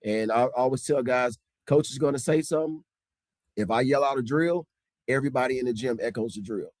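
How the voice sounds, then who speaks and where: A man, a high school basketball coach, talking casually in an interview, emphatic and repeating points for stress. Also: fairly quick, darkish tone, dry recording. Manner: strict, old-school tone.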